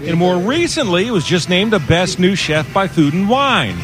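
A male radio reporter narrates a scripted news feature in a crisp, clipped style. His voice sits high for a man. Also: sing-song, moderate pace.

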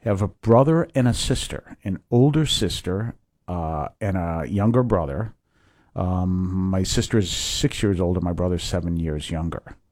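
A low-voiced man in his fifties from Massachusetts speaks as a priest in a radio interview. He wanders through his answers with lots of pauses and restarts. The sound is close and dry. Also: expressive, darkish tone, no room sound. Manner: rambling, with many fillers and restarts. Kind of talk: conversational interview.

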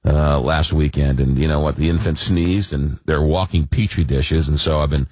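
A man's voice: rumbling low, fairly even intonation, normal speed, darkish tone, breathy edge, no echo. A man hosting a late-night call-in radio show while fighting a cold. He talks in a rambling, casual way with many fillers and dry jokes. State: fighting a cold, losing his voice.

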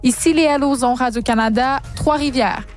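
A woman narrating a scripted radio news report, crisp and even. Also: high-pitched, moderate pace.

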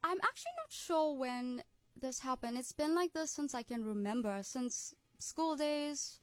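Radio guest: bright young woman with an American-sounding accent, cheerful and chatty, slightly high, dry close mic. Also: lively intonation, no room sound. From South Africa, Durban. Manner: cheerful, laughs often, quick asides. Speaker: guest musician. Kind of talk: radio interview.

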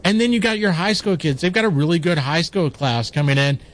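Brief: male sports radio co-host, nasal and high, rambling and opinionated. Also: fairly quick. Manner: opinionated, rambling, talks in long run-on takes. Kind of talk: casual sports talk.

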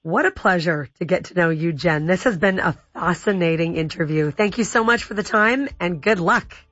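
A woman radio host with a naturally raspy voice, chatting in an upbeat way. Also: lowish voice, normal speed, fairly bright tone, no echo. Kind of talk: radio talk show. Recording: dull radio broadcast with music.

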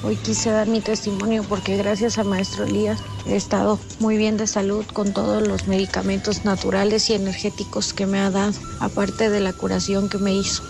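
A woman in her thirties gives an earnest testimonial. Her delivery is flat and gravelly. Music runs clearly beneath her. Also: mid-range voice, moderate pace, hardly any pauses. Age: 30s. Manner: earnest, sincere. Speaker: testimonial speaker in ad. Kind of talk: read testimonial. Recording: radio ad with music bed.

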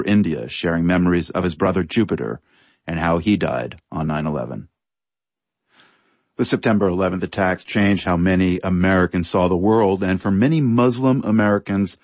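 A man presenting a news feature in scripted, measured narration. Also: low-pitched, darkish tone, no room sound.

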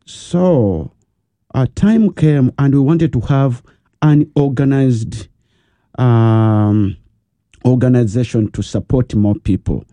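A man's voice: medium pitch, slow pace, dark tone, no echo. A man with a Ugandan accent, a pastor speaking as a guest on a radio talk show. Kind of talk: radio interview. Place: radio studio.

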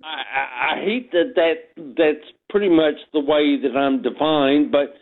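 A man's voice: on the high side, slow pace. An older man calling in to a radio show, good-humored and self-deprecating. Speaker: caller. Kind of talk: phone-in chat. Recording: tinny phone line.

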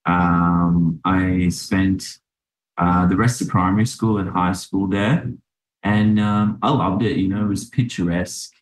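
Deep-voiced man in an echoey room. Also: fairly even intonation, unhurried. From Sri Lanka. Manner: reflective, with many fillers and restarts. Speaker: podcast guest, doctor. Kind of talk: relaxed interview answers.

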